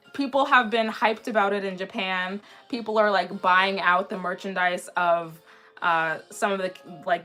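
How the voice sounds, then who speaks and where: A woman in her twenties chatting casually on a livestream in a rambling way, slightly raspy from a sore throat. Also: on the high side, bright, forceful tone. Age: 20s. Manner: chatty, rambling, frequently restarting sentences. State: mildly sick with a sore throat. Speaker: anime livestream host. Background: background music.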